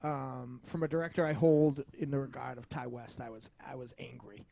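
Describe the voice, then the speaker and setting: A man's voice: mid-range voice, steady tone, normal speed, gravelly, a crowd in the background. A man co-hosting a podcast, arguing about film in an emphatic, combative way with plenty of swearing. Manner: argumentative, emphatic, profane. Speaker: podcast co-host. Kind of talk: heated film review discussion.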